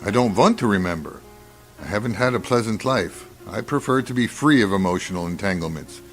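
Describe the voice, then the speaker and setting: Radio drama: male actor as haunted scientist doctor, deep-set pitch, formal and detached, very varied intonation. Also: lively intonation, a little fast, faint music behind, close to an everyday voice. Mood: grim, detached. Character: reserved male doctor, a haunted war camp survivor.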